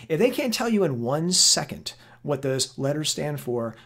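Higher-pitched man giving a fitness lecture. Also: very animated, moderate pace, a bit bright. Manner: emphatic, conversational, repeats words for stress. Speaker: personal trainer and fitness educator. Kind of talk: informal instructional lecture over slides.

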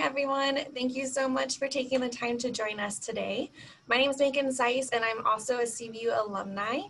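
A woman's voice: fairly high pitch, gravelly, a bit bright. A young woman introducing herself as an admissions counselor in a webinar. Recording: noisy video-call audio, room ambience.

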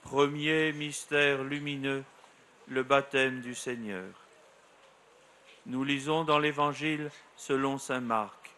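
A middle-aged man, a priest, leading a solemn recited prayer in measured, deliberate phrases. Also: medium pitch, fairly even intonation, sharp tone. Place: outdoors at a grotto shrine. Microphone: microphone on a lectern.

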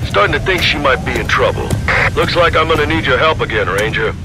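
An actor playing a folksy, easygoing tinkerer ally in a video game, giving casual, clipped briefings. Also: male voice, mid-range voice, expressive, fairly quick, lightly acted. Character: folksy, laid-back male tinkerer ally, gruffly friendly. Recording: music behind.

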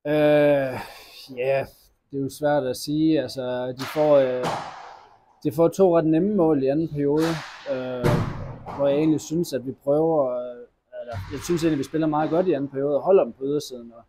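A young man, a hockey player, answering post-match interview questions in a halting, disappointed way with many fillers and restarts, recorded indoors at an arena press wall. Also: a little high, fairly even intonation, background noise. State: disappointed after a loss.

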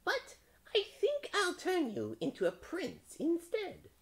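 A sly, teasing old witch from a storybook, played by a man, very high and shrill. The voice cackles and swoops wildly, stretching words out with gloating relish. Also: wildly swooping pitch, slow, full character voice. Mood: sly, gleeful.

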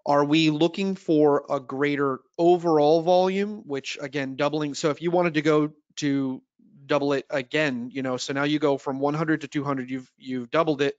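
A man's voice: fairly high pitch, steady tone, normal speed, no room sound. Man explaining in dull, dry audio. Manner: didactic, checks understanding with "right?". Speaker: audio trainer and presenter. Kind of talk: explanatory product webinar talk.

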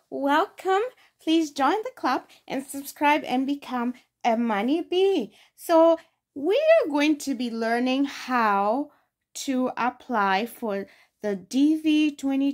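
A woman's voice: high voice, darkish tone. A young adult woman from Ghana, hosting a screen-recorded phone tutorial and explaining each step patiently. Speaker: tutorial host.

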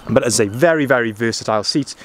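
A man's voice: mid-range voice, quick pace, slight background noise. A young man doing a walkaround review of a van outdoors, upbeat and enthusiastic with frequent ums. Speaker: van salesman. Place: outdoor car lot beside a van. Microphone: camera-mounted mic, filming while walking.

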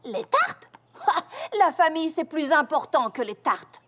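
A woman's voice: high-pitched, very animated, measured pace, fairly bright tone, full character voice. A woman playing a doting, earnest and overprotective big sister pony in a cartoon, emphatic and fussing. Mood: firm. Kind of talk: animated cartoon dub acting. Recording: music behind the voice.

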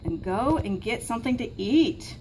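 A woman's voice: fairly high pitch, expressive, moderate pace, a little mellow, natural voice. Woman as a knowing big-sibling bunny, slightly noisy recording. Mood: knowing, matter-of-fact. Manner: matter-of-fact, explaining. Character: patient, knowing older bunny sibling, still a child.

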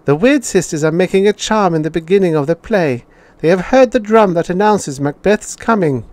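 A man doing a bright eager schoolboy. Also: high voice, lively intonation, no room sound, voice pushed up. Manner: quick eager answering. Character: bright young schoolboy answering.

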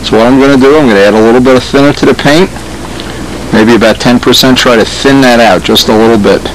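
A man's voice: mid-range voice, quick pace, a little hiss or hum, rough recording. Older man demonstrating outdoors in cold. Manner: practical, step-by-step explaining. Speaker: model airplane builder. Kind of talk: casual how-to demonstration. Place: snowy backyard outdoors.

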